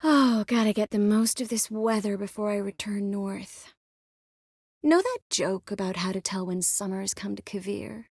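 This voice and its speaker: A woman voicing a witty, caring, self-assured young sorceress in a video game, often dropping to soft, intimate murmurs. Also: mid-range voice, moderate pace, breathy edge, no echo, studio quality. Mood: wry, confident. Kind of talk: scripted game character dialogue. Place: close studio recording.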